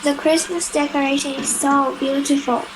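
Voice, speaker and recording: A woman's voice: sits high, flat delivery, moderate pace, close to an everyday voice. A girl of ten or eleven, playing an anxious, well-mannered schoolgirl in a radio play. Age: child, 10 to 11. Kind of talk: children's radio play acting. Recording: noisy home video-call recording.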